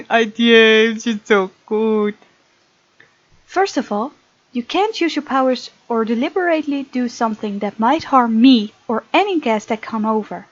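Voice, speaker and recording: A woman's voice: a little high, lively intonation, measured pace, dull audio. A woman hosting a gaming video, reading visual novel text aloud with chatty, giggly commentary.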